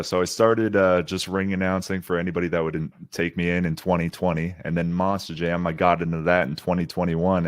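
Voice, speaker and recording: Deep-voiced young man, upbeat storyteller. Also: dry recording, studio quality. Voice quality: deep, gravelly voice. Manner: laughs often, upbeat storytelling. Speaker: guest, ring announcer. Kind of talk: casual interview chat.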